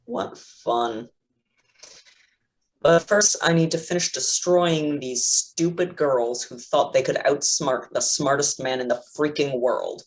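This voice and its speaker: A man's voice: medium pitch, slow pace, low-quality recording. A man reading the part of an arrogant, cruel tech villain in a staged play reading, with a sneering, mocking delivery. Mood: gloating.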